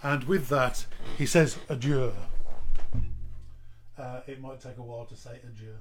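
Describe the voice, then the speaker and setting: A man singing his own song live with an acoustic guitar, a little croaky from hay fever, then thanking listeners in rambling chat. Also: medium pitch, fairly even intonation, measured pace. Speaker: singer-songwriter. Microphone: home livestream microphone.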